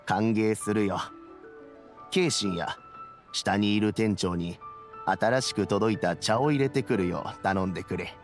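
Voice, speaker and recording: An actor playing a kindly, wise elderly statesman in a video game, speaking in a measured, old-fashioned way. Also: fairly low pitch, faint music behind, close to an everyday voice. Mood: welcoming. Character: dignified kindly elderly statesman, grey-haired, calm and wise. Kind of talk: Japanese video game voice acting.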